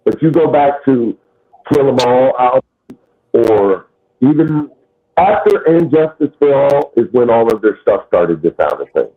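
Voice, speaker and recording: Husky low man on a tinny line. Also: expressive, unhurried. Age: 40s. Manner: laid-back, swearing casually. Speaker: host. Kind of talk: casual chat.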